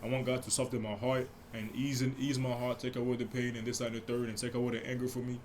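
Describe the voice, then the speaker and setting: A young man with a deep, mellow voice hosting a casual solo podcast, telling a story with many restarts and asides. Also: flat delivery, fast talker, a little hiss or hum. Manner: rambling, many restarts and asides, speaks softly. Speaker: podcast host.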